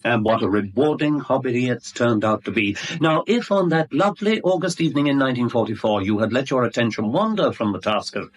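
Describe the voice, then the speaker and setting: An actor playing a smug middle-aged blackmailer in a 1970s radio drama, suave, wordy and mockingly courteous. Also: male voice, mid-range voice, lightly acted. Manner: suave, wordy, mock-polite, teasing.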